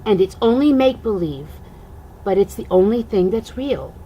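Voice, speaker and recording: An older woman, a grandmother, reading a rhyming children's storybook aloud in an expressive, singsong way. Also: medium pitch, normal speed, a little hiss or hum, rough recording.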